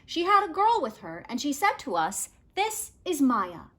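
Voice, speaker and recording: A woman narrating a children's picture book as a read-aloud, in an expressive, measured storytelling manner. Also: high voice.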